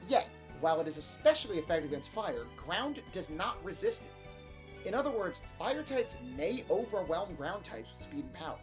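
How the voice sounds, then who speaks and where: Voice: mid-range voice, very animated, moderate pace, lightly acted. A performer voicing a stern male science teacher, lecturing formally for a visual novel reading. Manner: formal, didactic. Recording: music behind the voice.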